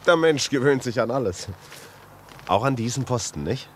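A male actor playing a curious, easygoing journalist in a German radio play, chatty and animated in delivery. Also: mid-range voice, normal speed, a little hiss or hum, natural voice. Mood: reassuring. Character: breezy, curious journalist, amused and reassuring.

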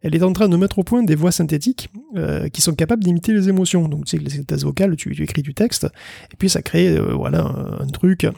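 A man's voice: a little high, fast, gravelly, mellow, slightly rough, dry recording, studio quality. A man co-hosting a tech podcast in a loose, joking chat, full of fillers and restarts. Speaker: podcast co-host.

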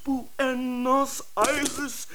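A woman's voice: high-pitched, little pitch movement, drawn-out words. A woman playing a wistful dreamer sighing half in song for faraway places in a radio drama. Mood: wistful, dreamy. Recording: distant, noisy, with typewriter clicks.

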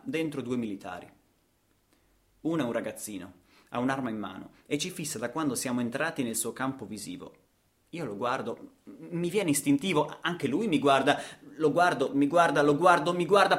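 A man's voice: mid-range voice, fairly bright tone. Man, theatrical storytelling. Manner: dramatic, rhythmic delivery with repetitions and rhetorical questions. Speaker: actor and storyteller. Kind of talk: theatrical monologue for radio theatre.

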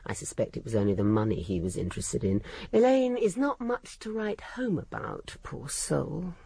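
Poised, mellow, cutting English housekeeper. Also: female voice, low-pitched, sing-song, normal speed, vocal fry, breathy edge, no room sound, full character voice. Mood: gossipy, candid. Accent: English, educated upper-middle-class. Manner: poised, dry, candid, cutting. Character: shrewd, cool young English housekeeper, gossipy and scornful. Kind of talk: radio drama dialogue.

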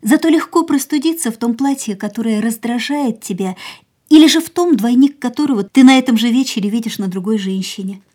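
An actress playing an ailing, proud and wistful young woman, reflecting in a measured, theatrical way in a radio drama. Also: a little high, dark tone, close to an everyday voice. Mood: musing, assured. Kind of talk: radio play dialogue and inner monologue.

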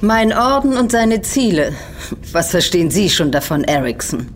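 A woman's voice: lowish voice, very animated, normal speed, soft background music. Haughty woman of a secret order. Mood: haughty, condescending. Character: haughty, power-hungry noblewoman of a secret order. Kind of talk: audio drama acting.

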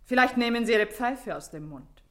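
An actress playing a haughty, indignant, sharp-tongued woman in a German radio crime drama. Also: on the low side, a little fast, close to an everyday voice. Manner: imperious, cutting.

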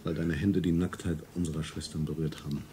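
An actor playing a grave, merciless rebel judge, intoning accusations solemnly in a radio play. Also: male voice, a bass voice, flat delivery, a little fast, mellow. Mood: cold, solemn. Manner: accusatory, incantatory repetition. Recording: faint noise and ambience behind.

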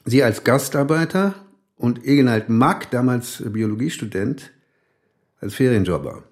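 Actor as a methodical, mid-pitched male interrogator. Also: expressive, a little mellow, natural voice. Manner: deliberate, checking with tag questions. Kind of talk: radio drama acting.

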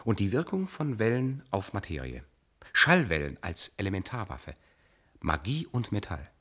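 An actor playing an erudite, keen male experimenter with sound in a science-fiction radio play, speaking in a deliberate, precise, lecturing way. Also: on the low side, huge pitch swings, fairly bright tone, roomy sound. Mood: musing.

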